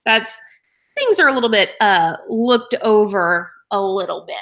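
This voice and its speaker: A woman's voice: mid-range voice, animated, unhurried, a bit bright. A woman from Oklahoma giving frank, matter-of-fact opinions in a casual book club chat.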